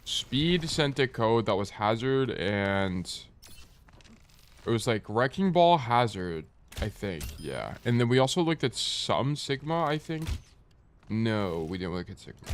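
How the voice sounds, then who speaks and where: A man's voice: mid-range voice, very animated, unhurried, a little hiss or hum. A young man streaming and coaching a game, chatting casually with a stuffy, nasal morning voice and rambling as he answers chat. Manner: rambling, joking, reads chat aloud and answers.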